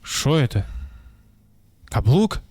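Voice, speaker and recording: A man's voice: medium pitch, sing-song, slow pace, darkish tone, soft background music. A young man thinking aloud and joking casually while playing a detective game. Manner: mumbling, wisecracking, thinking aloud. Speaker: gameplay streamer. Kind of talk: casual let's-play commentary.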